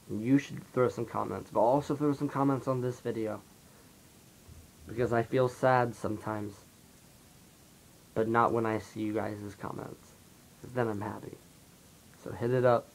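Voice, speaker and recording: A teenage man talking softly and rambling through a confessional vlog, his voice raspy from a dry throat. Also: mid-range voice, slight background noise, poor audio. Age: late teens. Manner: soft-spoken, rambling, heavy on fillers. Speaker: vlogger. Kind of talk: confessional casual monologue.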